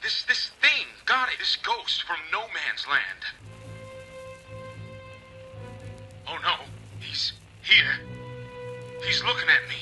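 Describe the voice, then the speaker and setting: Male actor as frantic, haunted veteran caller: very bright, tense, slightly high, pleading, old radio horror, faint music. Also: very animated, measured pace, full character voice, low-quality recording. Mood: terrified. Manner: frantic, pleading, dread-filled. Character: terrified, haunted war veteran on the telephone. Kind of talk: old time radio acting.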